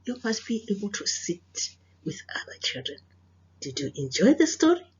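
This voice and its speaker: A South African woman, a native Sepedi speaker with a husky, breathy voice, reading to children as a teacher, explaining and questioning as she goes. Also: mid-range voice, very animated, moderate pace, lo-fi sound. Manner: didactic, repeats phrases, questions listeners. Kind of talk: children's read-aloud with moral lesson.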